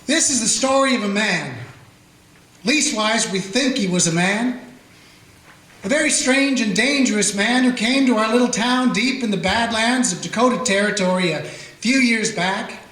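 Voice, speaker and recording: A man's voice: sits high, moderate pace, sharp tone. A man playing a folksy small-town frontier sheriff who narrates in a drawling, plainspoken way. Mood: reminiscing. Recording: roomy, echoey room.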